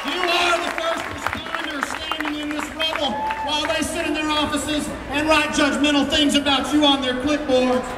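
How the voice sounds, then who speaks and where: An American man delivers impassioned political oratory as a school superintendent at an outdoor rally, declaiming emphatically and projecting loudly with dramatic phrasing. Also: extremely high pitch, little pitch movement, moderate pace, few pauses. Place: outdoors on capitol steps before a large crowd. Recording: echoey outdoor PA, distant mic, noisy crowd. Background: loud crowd and ambient noise.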